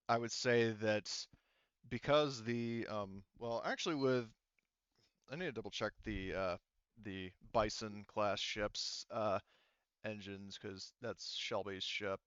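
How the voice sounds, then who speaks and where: A man's voice: fairly low pitch, takes it slowly, a bit bright, clear, resonant tone, dry recording, muffled recording. A man acting as game master in a casual tabletop roleplaying session, rambling and thinking aloud with the odd swear word.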